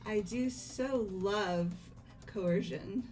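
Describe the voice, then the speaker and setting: A woman's voice: mid-range voice, very slow, darkish tone, faint music behind. A woman playing a haughty, demanding young lady with a formal, imperious manner, in a fan-voiced visual novel game. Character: haughty, demanding, coolly polite young lady who loves coercion.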